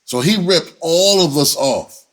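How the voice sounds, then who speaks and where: A man's voice: fairly high pitch, animated, measured pace, fairly bright tone. A man telling a personal story in casual, profane slang, repeating himself and acting out conversations as he goes. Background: music under the voice.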